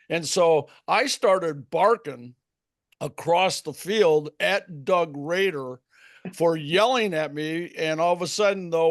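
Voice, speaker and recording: Older American man, ex-umpire storyteller; crackly voice, close dry sound, deliberate and emphatic. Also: fairly high pitch, no echo. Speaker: former baseball umpire. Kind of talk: anecdotal storytelling.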